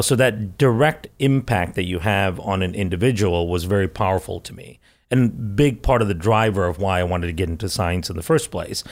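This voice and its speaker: Podcast guest, middle-aged man, lowish voice, eager and chatty, rambles through his answers. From Malaysia and India. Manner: enthusiastic, rambling, laughs often. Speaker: guest microbiologist. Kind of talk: conversational interview answers.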